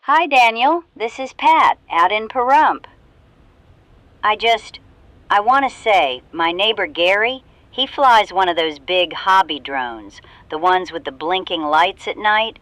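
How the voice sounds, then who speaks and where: Friendly, fussy small-town neighbor. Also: female voice, mid-range voice, lively intonation, slow pace, a bit bright, no echo. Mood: earnest, fussy. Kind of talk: radio drama acting.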